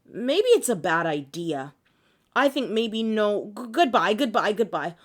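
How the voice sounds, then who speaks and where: A woman's voice: mid-range voice, sing-song, a little slow. Woman acting skittish, dramatic little schoolgirl Julie. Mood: nervous, hesitant. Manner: exclamatory, hesitant, repeating herself. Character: young schoolgirl Julie, skittish, dramatic, easily won over.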